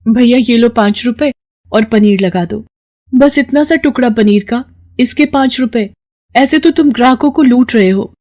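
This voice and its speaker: A woman's voice: on the high side, normal speed, a little mellow. A young woman narrating a storybook cartoon earnestly and voicing its heroine. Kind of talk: storybook narration with character voices.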